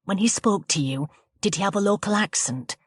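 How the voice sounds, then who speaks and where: A woman voicing a calm, caring female police detective who speaks in a gentle, soothing way. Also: medium pitch, brisk, breathy edge, dry recording, clean studio sound.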